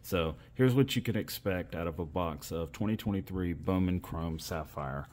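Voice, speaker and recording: A man's voice: deep voice, normal speed, a little mellow. A man hosting a casual video, giving running commentary as trading cards are opened. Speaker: host of a card-opening channel.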